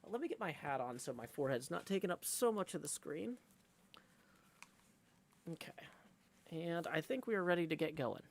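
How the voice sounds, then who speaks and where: A man hosting a live game stream in a casual, rambling way, with a creaky voice. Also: on the high side, animated, normal speed, pauses often. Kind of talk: casual livestream chat.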